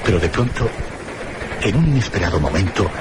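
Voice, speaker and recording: An actor playing a reflective gentleman who narrates a mystery in a measured, literary radio drama style. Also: deep voice, animated, background noise. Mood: reflective, grave. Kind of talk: scripted first-person radio drama narration.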